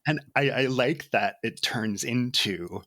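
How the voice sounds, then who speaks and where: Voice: medium pitch, slow pace, fairly bright tone, no room sound. A podcast host asking questions in a casual interview, with short encouraging replies. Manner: brief encouraging backchannels.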